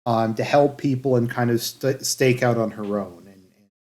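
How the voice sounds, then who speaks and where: A man with a mid-pitched voice delivers casual commentary as a reviewer. He speaks in a halting, hedging way, often letting phrases trail off as he explains things. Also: darkish tone. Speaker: anime reviewer.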